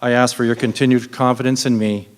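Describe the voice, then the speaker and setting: A man, a candidate at a city council debate, reading a measured, formal campaign statement. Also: mid-range voice, flat delivery, long reverb tail. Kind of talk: prepared campaign speech.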